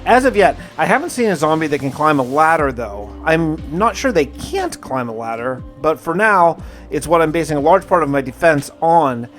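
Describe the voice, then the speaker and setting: A man's voice: a little high, sing-song, moderate pace, hardly any pauses, fairly bright tone, soft background music. A man hosting a gaming video, narrating his play casually in the first person with wry, conversational musing.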